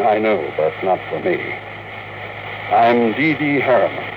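A man's voice: on the low side, very animated, a little slow. An actor playing a wistful, wealthy elderly man in an old-time radio drama. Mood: genial, persuasive. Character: elderly wealthy tycoon, wistful, dreaming of the moon. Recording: muffled, noisy old radio recording.

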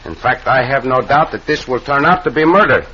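An actor playing a shrewd, gallant Mexican cowboy hero in an old-time radio western, with formal, measured phrasing. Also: male voice, medium pitch, animated, close to an everyday voice. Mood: grave. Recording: muffled, hissy old radio recording.

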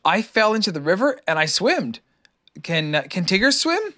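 A reader voicing an eager, innocent young kangaroo child with a squeaky, excited way of asking questions, in a storybook. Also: moderate pace. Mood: eager curiosity.

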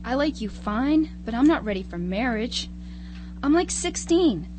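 A woman's voice: on the high side, big pitch swings, measured pace, a little mellow. Woman acting a frightened teenage girl, noisy audio. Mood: afraid. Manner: earnest, uneasy. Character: frightened sixteen-year-old small-town girl, cautious and sensible. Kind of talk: live amateur radio drama acting.

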